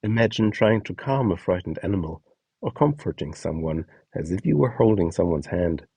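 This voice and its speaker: A man's voice: low-pitched, lively intonation, normal speed, gravelly, mellow, husky, no echo. A man reading scripted instructions and short scene prompts as the narrator of a guide.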